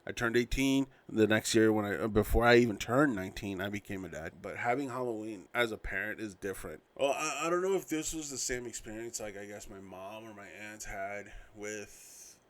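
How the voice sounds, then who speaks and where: Brief: thirties man, podcast host and dad, lowish voice, loose rambling delivery that keeps restarting sentences. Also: expressive, fairly quick, few pauses. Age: 30s. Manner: rambling, self-correcting, loose storytelling. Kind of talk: casual solo podcast reminiscing.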